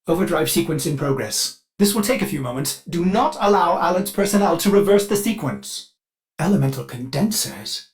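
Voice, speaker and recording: An actor voicing a devoted ship computer AI in a video game, fussy, polite and eager with violent outbursts, through glitchy synthetic processing. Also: male voice, on the high side. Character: fussy, devoted ship computer AI, male, with flashes of bloodthirsty rage. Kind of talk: scripted video game character lines.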